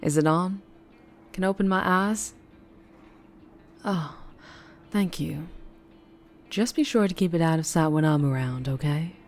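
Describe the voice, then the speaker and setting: Low-voiced Southern vampire woman, played by an actress. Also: measured pace, long pauses. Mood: guarded, affectionate. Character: flirtatious female vampire, troubled, guarded, protective. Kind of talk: ASMR roleplay acting. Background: music.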